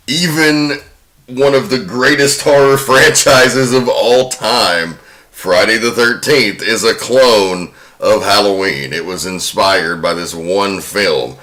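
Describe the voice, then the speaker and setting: A man's voice: fairly low pitch, bright, forceful tone. A man hosting a podcast, talking about film with a dramatic storyteller's delivery. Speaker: podcast host. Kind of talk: scripted narration of a film plot and round-table film talk. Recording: roomy echo, faint music.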